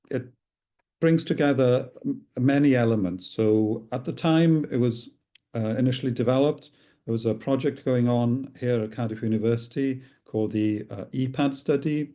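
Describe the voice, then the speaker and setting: Halting, mellow-voiced man. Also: medium pitch. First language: Welsh. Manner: halting, with many fillers and restarts. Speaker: clinical researcher. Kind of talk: recorded talk.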